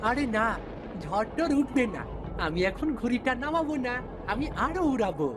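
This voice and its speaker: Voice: medium pitch, big pitch swings, moderate pace, music in the background, natural voice. A performer voicing a stubborn, careless village boy in a cartoon, whiny and pleading when things go wrong. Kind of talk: cartoon voice acting.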